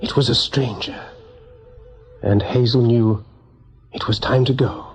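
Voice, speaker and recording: A man giving expressive, measured narration for a radio drama, with a husky voice. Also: medium pitch. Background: soft music.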